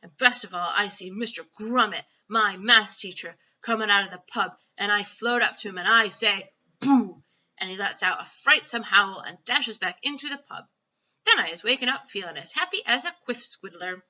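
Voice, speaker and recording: A woman reads as an excitable, bragging storybook child with childish broken grammar. The delivery is very bright and forceful, on a slightly dull lo-fi recording. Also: medium pitch, normal speed. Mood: gleeful. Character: excitable boastful child recounting wild dreams, ungrammatical. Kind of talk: children's storybook read-aloud.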